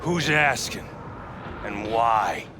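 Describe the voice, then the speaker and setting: A man's voice: on the low side, animated, very slow, noisy background. A man playing a suspicious, surly gatekeeper thug in a video game, curt and challenging. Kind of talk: video game character acting.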